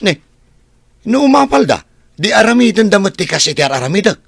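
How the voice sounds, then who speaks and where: An actor with a Filipino Ilocano accent playing a hot-tempered, defensive grown son who drives for a living, combative and indignant in radio drama style. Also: male voice, high-pitched, sing-song, fairly quick, creaky, fairly bright tone, dry recording, clean studio sound, full character voice.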